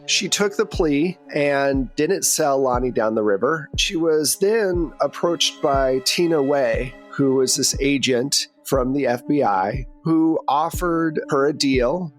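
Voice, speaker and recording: A man working as game master, narrating a tabletop game in an informal, conversational way. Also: medium pitch. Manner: informal, chatty.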